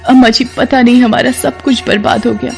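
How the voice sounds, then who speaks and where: Humble young wife voiced by a female storyteller, devout and pleading, raised pitch, moral tale with music behind. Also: level tone, a little fast, gravelly. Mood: humble, distressed. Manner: plaintive, pleading.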